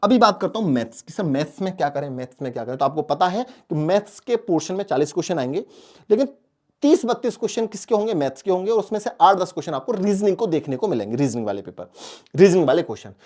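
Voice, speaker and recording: A man's voice: high voice, sing-song, fast talker, mellow. A man coaching students as a teacher, emphatic, repeating key phrases and answering his own questions. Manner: asks questions and answers them himself. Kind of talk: exam strategy lesson addressed to students.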